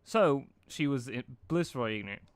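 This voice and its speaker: A man's voice: mid-range voice, animated, normal speed, smooth, dry recording. Informal male storyteller, dry close sound. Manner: stumbles and rereads phrases. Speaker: fan fiction reader.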